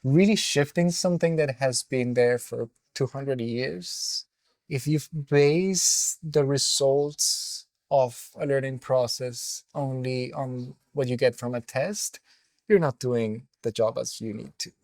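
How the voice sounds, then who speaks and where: A middle-aged man from Colombia with a Colombian Spanish accent, a school director reflecting on his life in an unhurried conversational interview with many fillers. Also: mid-range voice, a little mellow, dry recording.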